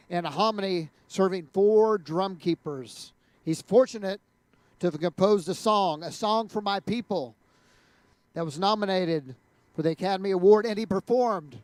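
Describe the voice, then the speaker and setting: A man's voice: high voice, no room sound. A man hosting an event, introducing a guest in a conversational way with frequent fillers. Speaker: event host.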